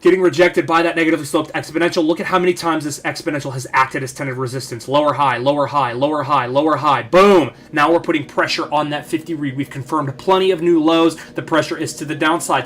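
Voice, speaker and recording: A man hosting a market analysis channel, slightly hoarse, talking bluntly and emphatically with lots of fillers and repeated phrases. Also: on the high side, a bit bright. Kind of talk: casual chart analysis talk.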